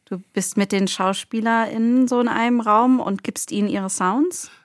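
A woman's voice: on the high side, fairly even intonation, moderate pace, no echo. A woman interviewing in a casual, curious, informal way in a studio. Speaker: interviewer, co-host. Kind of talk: casual chat. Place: radio drama studio.

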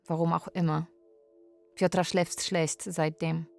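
Radio play role: restless, lonely caregiver from Poland, fairly low voice, unadorned delivery. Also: female voice, little pitch movement, unhurried, gravelly, a little mellow, faint music behind. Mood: wistful, waiting. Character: lonely, restless Polish live-in caregiver woman. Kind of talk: radio drama, narrating and texting aloud.

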